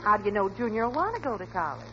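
Actress for gently skeptical 1940s radio wife and mom, American, expressive pitch; dull, noisy vintage sound. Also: female voice, racing, close to an everyday voice. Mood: gently doubting. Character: sensible, gently doubting wife and mother. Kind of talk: 1940s radio comedy acting. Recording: noisy, muffled old radio recording.

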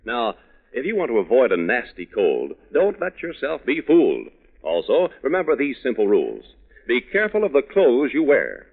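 A male radio announcer with a middling, very animated voice, reading in an emphatic, folksy 1940s style. The recording is narrow and tinny. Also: mid-range voice, moderate pace, breathy edge.

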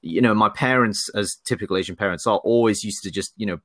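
Low-voiced man with a mixed British accent. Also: fast talker, fairly bright tone, dry recording. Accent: mixed British, faint Geordie. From Northeast England. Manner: hesitant, many restarts and fillers. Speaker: podcast guest, lawyer. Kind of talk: casual interview chat.

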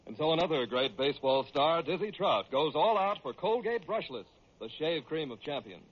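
An American man works as an old-time radio announcer, delivering introductions and sponsor copy. His voice is fairly high and husky, heard through a dull vintage broadcast recording. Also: expressive, normal speed.

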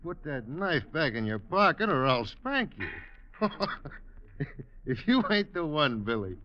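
A man playing a gruff, dismissive card player in an old radio western drama. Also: a little high, very animated, slow, sharp tone, full character voice. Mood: mocking. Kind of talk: 1950s radio drama acting. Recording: muffled old radio recording, faint noise.

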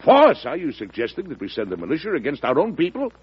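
An actor playing a calm, steady older president in an old radio drama, with a husky voice and a measured, reassuring manner. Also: male voice, on the high side, highs cut off, exaggerated voice. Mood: alarmed. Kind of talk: 1940s radio acting.

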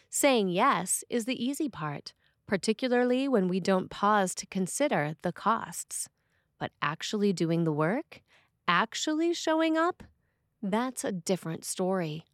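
A woman priest reading a written sermon for a podcast in an expressive way. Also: mid-range voice, dry recording, clean studio sound.

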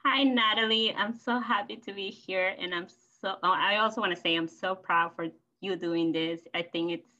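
Peruvian woman in her 30s, native spanish speaker, really low but bright voice, cheerful rambly podcast chat, kinda muffled audio. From Peru. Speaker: podcast guest. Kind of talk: casual personal storytelling. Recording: slightly dull, lo-fi audio.

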